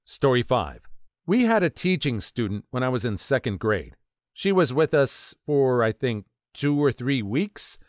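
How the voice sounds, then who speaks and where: Male narrator for story readings, mid pitch, very lively swings, dry close voice. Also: dry recording. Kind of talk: scripted narration of online stories.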